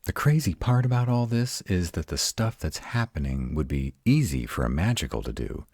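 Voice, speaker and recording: A man playing a grumpy, protective were-dragon in a roleplay audio, gruff and exasperated, rattled but defiant. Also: deep voice, sing-song, a little mellow, no echo, studio quality, full character voice. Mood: wary, puzzled. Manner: gruff, exasperated, halting one-sided dialogue.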